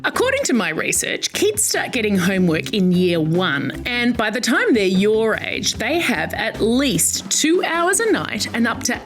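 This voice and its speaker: A middle-aged woman with an Australian accent, hosting a scripted educational narration for kids in a bright, playful manner. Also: fairly high pitch, a little slow, hardly any pauses, faint music behind. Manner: bright, playful, animated storyteller. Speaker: host.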